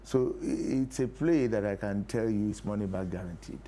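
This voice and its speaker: A man's voice: on the low side, moderate pace, warm tone, a little hiss or hum. A man with a Nigerian accent, speaking conversationally as an interview guest. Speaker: actor and producer, interview guest.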